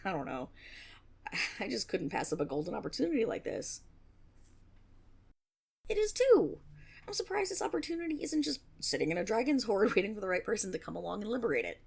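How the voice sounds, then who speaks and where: Woman playing a husky, flustered young tiefling rogue. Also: mid-range voice, huge pitch swings, brisk, long pauses, darkish tone, heavily acted. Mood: playful, warming. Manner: nervous rambling with stammers, sighs and breathy giggles. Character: flustered, eager young female tiefling rogue with a teasing streak. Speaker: audio roleplay performer. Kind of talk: intimate one-sided fantasy audio roleplay, ASMR.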